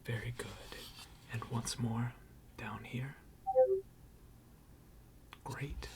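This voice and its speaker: A person whispering an ASMR repair roleplay in a breathy, gentle and reassuring way, with unhurried, hesitant pauses. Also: medium pitch, steady tone, a little hiss or hum. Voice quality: breathy, mostly unvoiced whisper. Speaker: ASMR creator role-playing a robot repair technician.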